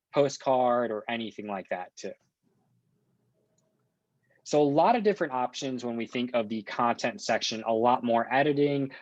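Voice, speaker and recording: A man working as a software trainer, talking through an on-screen walkthrough in an informal, explanatory and reassuring way. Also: mid-range voice, no room sound, muffled recording.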